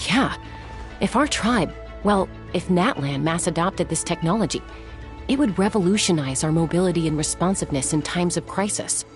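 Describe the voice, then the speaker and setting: A woman plays a composed young warrior with a low voice. She speaks in a measured, serious, matter-of-fact way as a video game character, over faint music. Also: lively intonation, lightly acted.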